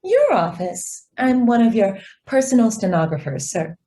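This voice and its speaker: An actress playing a polite young office stenographer, deferential yet evasive and quietly persistent, in a radio drama. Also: female voice, mid-range voice, big pitch swings, moderate pace. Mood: polite, insistent. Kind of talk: stage-style radio drama acting.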